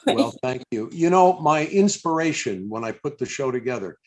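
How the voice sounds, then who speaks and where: A man's voice: medium pitch, expressive, normal speed, low-quality recording. A man conducting a conversational interview over a video call, with hesitant, stammered starts to his questions. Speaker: interviewer, stage impressionist.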